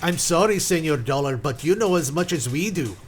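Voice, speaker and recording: Man playing a courteous captain, fairly high. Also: lively intonation, brisk, close to an everyday voice. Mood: regretful, formal. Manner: polite, formal, helpful. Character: courteous male Latin American coast guard captain. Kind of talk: radio detective drama acting.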